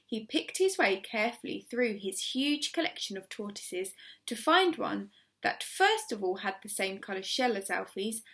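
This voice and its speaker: A woman teacher reading a children's story aloud, expressively, with measured and emphatic dramatic phrasing. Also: fairly high pitch, sharp tone.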